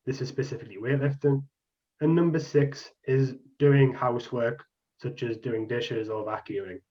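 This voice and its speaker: Young Geordie man, casual researcher presenting online, ums a lot; dull lo-fi call audio. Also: mid-range voice. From Newcastle, England. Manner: casual, chatty explaining with frequent ums. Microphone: video call. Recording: muffled video call audio.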